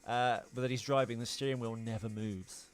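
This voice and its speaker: A man's voice: medium pitch, steady tone, moderate pace, clear, resonant tone, soft background music. A man hosting a podcast with film trivia and casual banter, wry and teasing, a little bossy. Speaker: podcast host.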